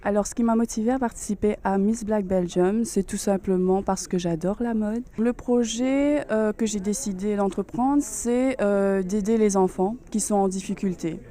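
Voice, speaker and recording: A woman's voice: medium pitch, moderate pace, hardly any pauses, warm tone. A woman in her twenties, a pageant candidate, speaking simply and sincerely. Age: 20s.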